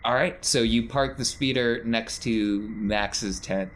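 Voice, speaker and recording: A man's voice: medium pitch, slow, a bit bright. A man narrating a tabletop game as game master in a conversational, encouraging way. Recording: clear background noise.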